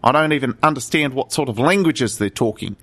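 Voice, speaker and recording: A man's voice: medium pitch, fairly quick, a bit bright, slight background noise, natural voice. A man voicing a self-important, bumbling and dismissive male preacher in an improvised comedy sketch. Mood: flustered, indignant.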